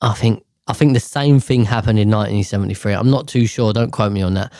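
A man's voice: on the low side, steady tone, quick pace, dark tone, no room sound, clean studio sound. A man ranting casually to camera, profane and exasperated, rambling with sighs and restarts.

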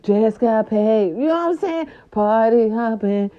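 Chatty, animated grandma vlogger. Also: female voice, a little high, measured pace. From California. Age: older. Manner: animated, chatty, salty slang, lots of "right, right" fillers. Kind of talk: casual monologue to viewers. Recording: dull lo-fi sound.

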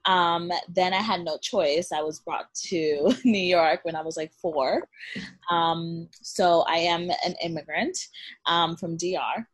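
A young woman from the Dominican Republic talking in a relaxed way as a podcast guest, laughing often and using many fillers. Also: on the low side, slow. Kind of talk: casual interview chat.

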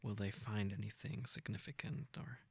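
A man with a low, husky voice full of vocal fry guides a meditation. He speaks softly and gently, without hurry, in an informal and intimate way. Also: fairly even intonation. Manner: gentle, unhurried, informal with self-corrections. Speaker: meditation guide, podcast host.

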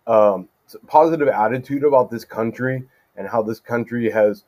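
A middle-aged man from California, a judge as podcast guest, answering in a thoughtful, measured way with frequent fillers. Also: medium pitch, highs cut off. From California, USA. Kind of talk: casual interview conversation.

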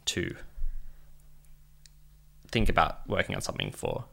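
A man's voice: rumbling low, huge pitch swings, a little slow, creaky, bright, forceful tone. A man co-hosting a podcast, chatting casually in a rambling, self-deprecating, joking way. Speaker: podcast co-host. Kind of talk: casual chat.

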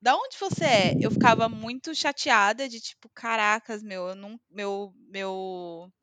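A Brazilian woman with a Brazilian Portuguese accent, chatting casually with hesitant pauses and fillers. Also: medium pitch, very noisy. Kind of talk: casual chat. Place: living in Scotland.

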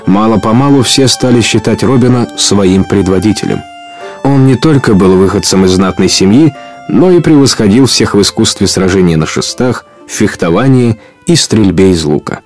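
A man's voice: lowish voice, expressive, normal speed, darkish tone, lightly acted. A man narrating an outlaw legend as the storyteller of a radio play, in scripted storybook style. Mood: measured. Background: guitar music.

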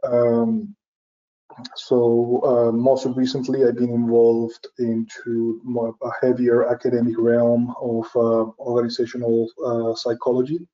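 A middle-aged man from Venezuela, a safety professional, answering interview questions with many pauses, fillers and restarts. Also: medium pitch, monotone, dark tone. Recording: dull lo-fi audio.

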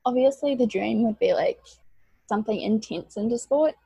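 A young woman from New Zealand talks casually as a podcast guest, her voice gravelly and husky. She chats loosely and drops in lots of "like". The recording is dry and close, with no room sound. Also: on the high side, normal speed, warm tone, dry recording, rough recording. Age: 20s. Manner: chatty, peppers speech with "like".

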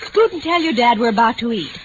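Actress as a lively, harried, scolding mother. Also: a little high, quick pace, lightly acted. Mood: harried, dismissive. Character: busy, dismissive mother preparing holiday dinner. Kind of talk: old-time radio acting. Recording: dull, slightly noisy old radio recording.

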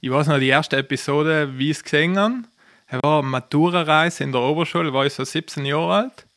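A man's voice: on the high side, a little fast, a bit bright. A man in his thirties with a South Tyrolean accent, telling his own story casually and enthusiastically as a podcast guest, jumping between half-finished sentences. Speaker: podcast guest, professional poker player. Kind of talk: casual chat, personal storytelling.

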